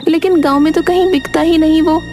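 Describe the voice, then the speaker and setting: A woman's voice: extremely high pitch, steady tone, fairly quick, pitched above the performer's own voice. A woman voicing a caring, eager young village daughter in a cartoon story. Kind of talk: cartoon moral story acting. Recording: music behind the voice.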